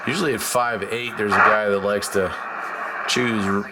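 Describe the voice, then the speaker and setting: A man giving a casual hands-on demonstration as a hobbyist, unhurried and thinking aloud. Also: on the low side, steady tone. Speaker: radio hobbyist presenter. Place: indoors beside a shortwave receiver. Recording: clear background noise, radio static.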